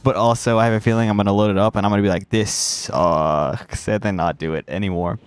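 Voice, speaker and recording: A man's voice: lowish voice, steady tone, quick pace, smooth, no echo. A young man with a congested voice, chatting in a loose, rambling way while commenting on his gameplay. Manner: rambling and self-interrupting, drops slang. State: congested, recovering from a cold. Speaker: gaming streamer.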